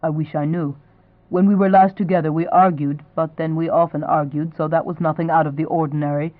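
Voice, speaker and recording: A woman's voice: low-pitched, steady tone, brisk, lightly acted. A woman reading a troubled young man. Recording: tinny, phone-like sound.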